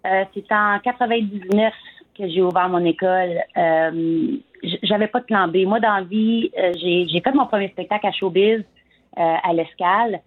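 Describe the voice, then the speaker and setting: A woman's voice: medium pitch, moderate pace. A woman in her forties with a Franco-Ontarian French accent, a singer chatting as an interview guest with frequent hesitations. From Eastern Ontario, Canada. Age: 40s. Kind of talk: casual interview chat. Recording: tinny phone line.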